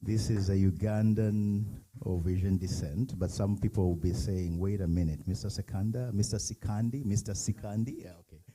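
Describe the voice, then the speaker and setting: Male emcee, very low and dark voice, a bit husky, brisk and coaxing. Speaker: master of ceremonies. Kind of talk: event emcee talk.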